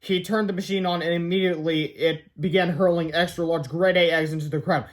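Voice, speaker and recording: High-voiced man reading a children's book. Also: steady tone, a little fast. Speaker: read-aloud storyteller. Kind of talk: scripted children's book narration.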